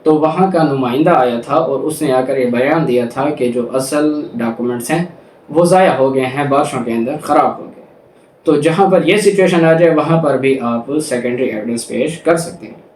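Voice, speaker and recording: A man with a Pakistani accent giving an explanatory law lecture, reading out provisions and restating them in a didactic way. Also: a little high. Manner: didactic, repeats and rephrases key points. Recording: roomy, distant mic with background noise.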